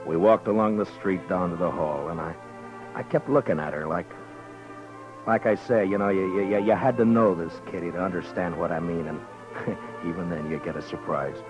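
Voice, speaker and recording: A steady frontier marshal narrates in a very deep, measured, low-key voice, played by a man. Music plays clearly behind him in the old radio broadcast. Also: dull audio, natural voice. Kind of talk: 1950s radio drama narration.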